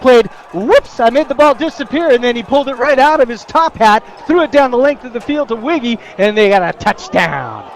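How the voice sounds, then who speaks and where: A man's voice: very high-pitched, animated, a little fast, hardly any pauses. A man giving live football color commentary on the radio, recapping plays in a colloquial, colorful way. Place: outdoor football stadium broadcast.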